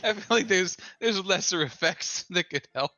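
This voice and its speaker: A player in a tabletop game, talking slowly and hesitantly with playful jokes. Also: medium pitch, lively intonation, sharp tone, faint music behind. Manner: slow, joking, hesitant. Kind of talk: casual tabletop game chat.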